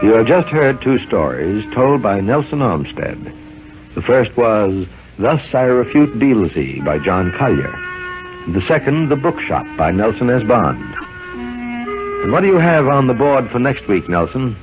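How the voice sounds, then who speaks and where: A man's voice: mid-range voice, sing-song, measured pace. A man announcing a radio programme in the style of the 1950s. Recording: narrow-band old radio broadcast, music behind. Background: music.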